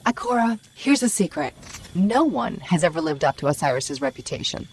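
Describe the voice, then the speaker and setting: A woman's voice: fairly low pitch, big pitch swings, normal speed, a little hiss or hum. A woman voicing a small, witty and cocky female AI companion in a video game, wry, self-assured and conversational. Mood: wry, confiding. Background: loud cinematic game music.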